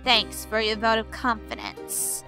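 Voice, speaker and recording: Woman playing a high-voiced, brisk police pony. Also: very animated, vocal fry, bright, forceful tone, faint music behind, exaggerated voice. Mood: brisk, sarcastic. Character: brisk, wry female pony police sergeant. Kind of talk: audio drama acting.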